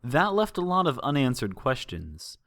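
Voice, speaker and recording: A man narrates a piece of fan fiction as an audiobook. His voice sits at a middle pitch and moves in an animated way. The sound is dry, with no room around it. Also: moderate pace, no echo. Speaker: audiobook reader.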